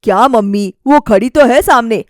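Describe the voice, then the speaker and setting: A woman voicing a bossy, thrifty husband who complains forcefully in a family story. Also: on the high side, normal speed, no echo, studio quality, natural voice. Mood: impatient. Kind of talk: acted dialogue in a family story.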